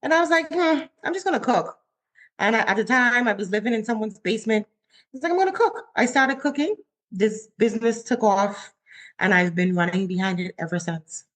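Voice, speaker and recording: Chatty Bahamian-born woman chef. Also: brisk. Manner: animated, acts out her own quotes with rising pitch. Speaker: chef, guest. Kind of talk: casual interview storytelling.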